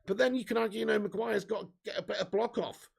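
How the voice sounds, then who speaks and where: A man with a hoarse, fading voice, giving sweary, excitable live fan commentary while reading chat. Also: high voice, quick pace. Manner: swearing, excitable, shouts at chances. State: losing his voice. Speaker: fan watch-along streamer.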